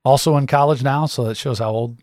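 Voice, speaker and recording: A man's voice: medium pitch, a little fast, a little mellow, dry recording. Easygoing fifty-year-old man from Iowa. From Central Iowa. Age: 50s. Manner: easygoing, short agreeable replies. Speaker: interview guest, insurance agent. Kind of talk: casual interview chat. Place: small radio studio.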